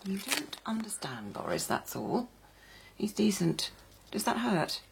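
An actress playing a vain, possessive, volatile middle-aged mother with a husky, breathy voice in a stage drama. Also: medium pitch, very animated, a little slow, a little hiss or hum. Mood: hushed, wounded. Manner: theatrical, swings between hushed pleading and loud outbursts.

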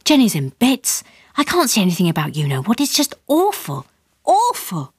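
A woman voicing an anxious, brisk, long-suffering middle-class mother in an audiobook story. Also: mid-range voice, wildly swooping pitch, slightly rough, dry recording, clean studio sound, pitched above the performer's own voice.

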